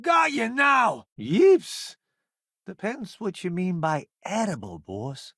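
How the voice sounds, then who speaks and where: Smug celebrity foodie sidekick, video game, higher-pitched, wildly swooping intonation, theatrical, wisecracking. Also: male voice, very animated, slow pace, fairly bright tone, dry recording, clean studio sound, full character voice. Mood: excited, combative, then wry, showy. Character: vain, chatty celebrity food columnist and space crew sidekick. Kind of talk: video game companion voice lines.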